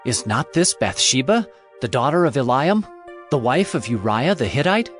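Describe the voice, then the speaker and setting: A man's voice: medium pitch, very animated, moderate pace, a bit bright, soft background music. An actor playing a respectful royal servant reporting to his king in a dramatized audio Bible. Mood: informing, questioning.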